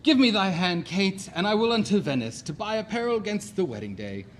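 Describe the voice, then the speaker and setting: An actor playing a brash, boastful, domineering suitor in a classic comedy audio play, with declamatory theatrical delivery. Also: male voice, high voice, sing-song, fairly quick, slight background noise. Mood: triumphant.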